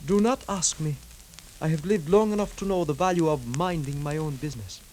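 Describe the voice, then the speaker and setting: A man's voice: on the high side, fairly quick, darkish tone, close to an everyday voice. A man playing a cautious, self-effacing Egyptian government clerk in a 1950s radio drama, with formal, careful phrasing. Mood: wary. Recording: 1950s radio recording, hissy background.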